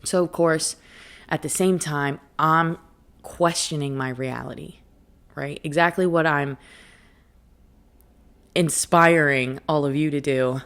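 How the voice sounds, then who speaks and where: A woman's voice: sits low, gravelly, a bit bright. A woman hosting a reflective motivational monologue, speaking candidly and conversationally, with emphasis and rhetorical questions. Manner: asks rhetorical questions and answers them. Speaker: podcast host and life coach.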